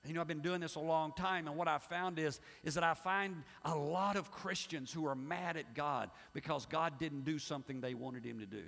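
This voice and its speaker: A man's voice: fairly high pitch, normal speed, vocal fry, few pauses. A middle-aged man preaching from the pulpit with a slightly raised voice, pressing hard on some lines and dropping almost to a murmur on others. His words ring out with a long reverb through a big church hall. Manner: emphatic preaching that swings from loud to hushed. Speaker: pastor. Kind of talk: sermon. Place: church sanctuary.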